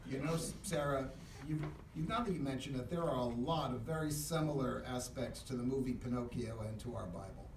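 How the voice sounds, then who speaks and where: A man playing straight man to a puppet in a live comic dialogue, questioning haltingly, recorded in an echoey classroom. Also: mid-range voice. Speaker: Sunday school teacher, straight man to a puppet. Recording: echoey room, distant mic, faint noise.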